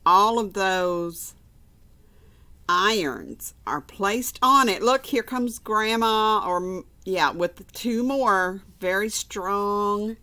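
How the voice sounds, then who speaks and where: A woman's voice: mid-range voice, very slow, pushed and bright. A woman reading a storybook aloud to children, informal and conversational, with chatty asides and repeated phrases. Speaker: storybook reader.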